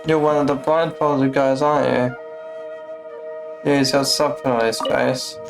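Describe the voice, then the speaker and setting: Game streamer, medium pitch, slow pace, casual live commentary, blunt, sweary, music in background. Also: flat delivery, vocal fry.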